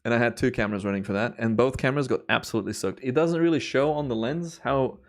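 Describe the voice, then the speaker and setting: Relaxed, slightly hoarse man rambling on stream. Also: mid-range voice, fast talker. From Belgium. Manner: relaxed, rambling, chatty with viewers. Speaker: timelapse photographer streaming. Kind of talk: relaxed live stream commentary.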